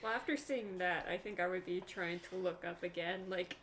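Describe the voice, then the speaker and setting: A woman's voice: on the low side, moderate pace. A woman speaking casually as a player during a tabletop roleplaying game. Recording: loud crowd chatter behind voice.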